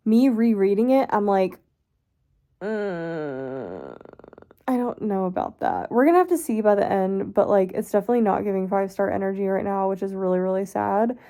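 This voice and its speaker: A woman's voice: mid-range voice, very animated, normal speed, warm tone. A woman in her thirties vlogging about books in a rambling, casual way, tired and congested, recorded as a lo-fi phone clip. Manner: rambling, self-correcting, fillers like "like". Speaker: book vlogger. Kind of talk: casual chatty vlog. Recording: faint background noise, lo-fi phone clip.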